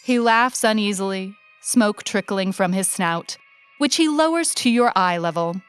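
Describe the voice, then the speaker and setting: A woman reading a short story aloud in an expressive narrating style. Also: medium pitch, unhurried, clean tone. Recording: music bed under the reading. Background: music.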